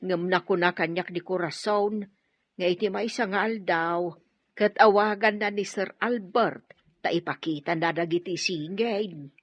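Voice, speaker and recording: A nervous, eager-to-please housemaid who talks slowly in a lowish, husky voice. The sound is dry and a little lo-fi. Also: female voice, no room sound. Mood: flustered. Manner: deferential, flustered. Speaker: actress in an audio drama. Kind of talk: radio drama acting.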